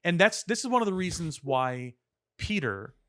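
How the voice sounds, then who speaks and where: A man co-hosting a podcast, talking earnestly and articulately in casual discussion, with elaborate words and deliberate pauses. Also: fairly high pitch, sing-song, sharp tone. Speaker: podcast co-host. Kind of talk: opinionated casual pop-culture discussion.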